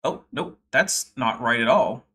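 Bright-voiced young man hosting a livestream. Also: mid-range voice, lively intonation, a little slow, slightly rough. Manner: chatty, wry, reading super chats aloud. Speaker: livestream host. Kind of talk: casual livestream commentary.

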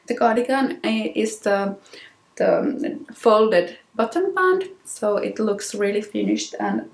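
A woman in her thirties with a Finnish accent, a native Finnish speaker, hosting a knitting podcast in casual talk to camera, unhurried with many ums and restarts. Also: mid-range voice, roomy sound. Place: small room with plain wall, indoors.

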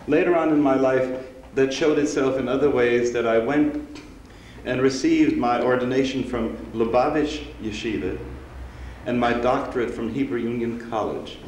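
A man's voice: mid-range voice, a little mellow. Older male rabbi, Austrian-raised, reflective lecturer; roomy synagogue hall. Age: 60s. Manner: measured, reflective, unhurried storytelling. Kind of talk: public lecture. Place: synagogue hall, seated on stage before an audience. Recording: roomy hall recording with faint hiss.

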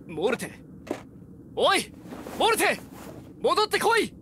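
A man playing a serious, protective young adventurer in an audio drama, speaking with gruff urgency. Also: very high-pitched, wildly swooping pitch, slow, reverberant, a little hiss or hum, exaggerated voice. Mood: alarmed. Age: young adult. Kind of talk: Japanese audio drama acting. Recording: loud music over voice.

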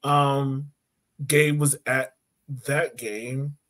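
A man's voice: mid-range voice, flat delivery, drawn-out words, fairly bright tone. A man in his thirties co-hosting a casual soccer chat over a video call from home. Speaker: soccer podcast co-host. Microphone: video call microphone.

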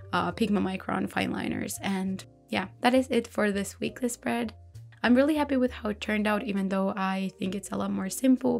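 A woman narrating a voiceover about her journaling, chatty and self-reflective, her voice going slightly hoarse. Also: mid-range voice. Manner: relaxed, chatty, self-reflective, light laughs. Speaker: bullet journal creator. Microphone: voice-over recorded separately. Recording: soft background music.

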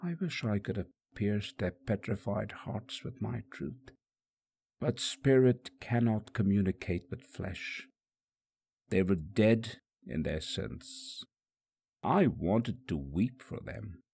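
A man delivers dramatic religious narration in the first person. His voice is gravelly and unhurried, with a solemn, emphatic delivery. The recording is dry and close. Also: fairly low pitch, a little mellow, dry recording. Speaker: audiobook narrator.

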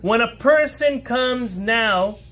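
A rabbi giving an earnest, emphatic Torah lesson to a small live group, posing rhetorical questions and answering listeners' remarks on the fly. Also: male voice, very high voice, slow pace, a bit bright.

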